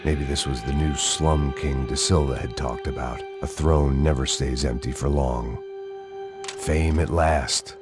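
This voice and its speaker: An actor playing a cynical middle-aged American gunman, narrating a hard-boiled game story in a deadpan, sardonic way. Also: very deep voice, unhurried, over music. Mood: bitter, sardonic. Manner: deadpan, sardonic, world-weary.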